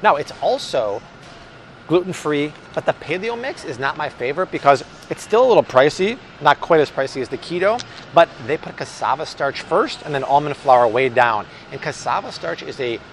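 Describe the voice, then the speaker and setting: A man's voice: on the high side, lively intonation, moderate pace. An American man in his thirties, upbeat and chatty, giving a casual, enthusiastic product review as a vlog host in a supermarket. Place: large supermarket aisle, indoors. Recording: clear store ambience and hum.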